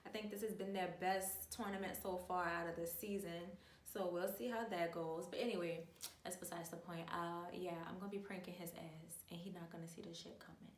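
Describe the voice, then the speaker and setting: A young woman with a New Orleans accent talks to her viewers in a loose, animated way, full of slang and filler words. Her voice sits a little low. The room is echoey and she is some distance from the mic. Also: brisk, slight background noise. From New Orleans, USA. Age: 20s. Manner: chatty, animated, uses lots of slang and filler. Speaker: vlogger. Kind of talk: casual storytime chat.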